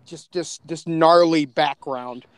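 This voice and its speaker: A man's voice: on the high side, slow, clean tone, dry recording. A man in casual chat as a podcast guest, effusive and slangy, often repeating phrases as he goes. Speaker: podcast co-creator and panel guest.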